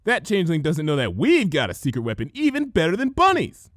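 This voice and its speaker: A man voicing an excitable, playful young female pony with a childish, cheerful manner in a storybook reading. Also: fairly high pitch, a little fast, no room sound, clean studio sound, pitched above the performer's own voice. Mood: joyful, playful. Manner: cheerful, sing-song, childish.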